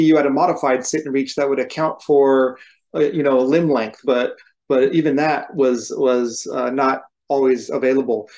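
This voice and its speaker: A man in his forties, host of a firefighter fitness show, speaking in a measured, articulate way on a webcam call from home. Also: medium pitch, darkish tone. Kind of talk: casual conversational explanation. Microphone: video call webcam.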